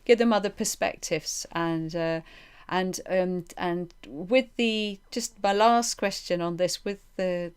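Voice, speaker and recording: Female podcast host, lowish resonant voice, thoughtful conversational questioning with halting, circling phrasing. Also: clear, resonant tone. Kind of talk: reflective interview conversation.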